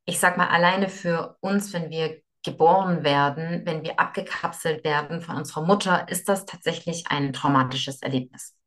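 A young woman who grew up in Russia speaks as a podcast guest. Her voice sits slightly low, and she explains her ideas earnestly, often circling back and repeating words as she thinks aloud. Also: moderate pace, hardly any pauses, a bit bright. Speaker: yoga teacher and guest. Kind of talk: conversational podcast interview.

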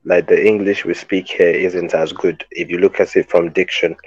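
Deep-voiced Nigerian man, dull dry sound. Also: moderate pace, slightly rough. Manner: relaxed storytelling, jokey. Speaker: podcast co-host. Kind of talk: casual podcast chat.

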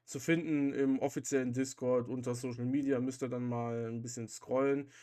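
A man's voice: medium pitch, little pitch movement, normal speed, warm tone. A man hosting a podcast, chatting casually and informally. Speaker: podcast host.

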